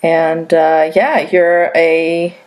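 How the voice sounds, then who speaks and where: Chatty woman podcast host, lowish voice. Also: little pitch movement, very slow, darkish tone. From Saudi Arabia. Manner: chatty, frequent "like" fillers. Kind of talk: casual interview chat.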